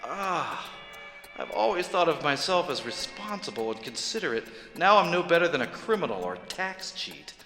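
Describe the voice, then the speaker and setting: A man's voice: fairly high pitch, big pitch swings, normal speed, bright, forceful tone, soft background music. A man narrating his own story in a radio drama, anxious and growing obsessive.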